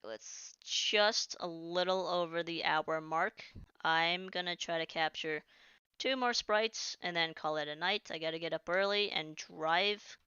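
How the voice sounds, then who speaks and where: A woman's voice: on the low side, a little slow, bright, forceful tone, smooth, dry recording. A woman chatting casually during a live game stream, rambling and restarting sentences, her voice starting to tire. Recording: dull lo-fi stream audio.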